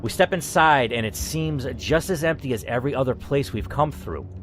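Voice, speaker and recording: A man hosting a dramatic story reading, who reacts out loud and swears as he weighs each choice. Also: mid-range voice, normal speed, slight background noise. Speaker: host reading an interactive story.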